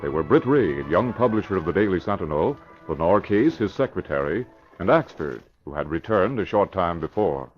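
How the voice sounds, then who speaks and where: A man's voice: low voice, expressive, normal speed, faint music behind. A man narrating a scripted 1940s radio drama in a vintage announcer style. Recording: muffled old radio recording.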